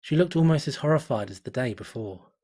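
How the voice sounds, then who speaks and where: A man reading a fan fiction story aloud in a measured, deliberate way. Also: mid-range voice, expressive, warm tone. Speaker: narrator. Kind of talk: fan fiction audiobook narration.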